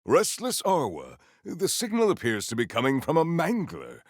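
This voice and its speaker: A man voicing a stoic, honourable warrior in a video game, speaking in formal, stately phrases with a gravelly voice. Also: a little high, huge pitch swings, no echo, studio quality, exaggerated voice. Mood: pondering. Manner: formal, stately, measured diction.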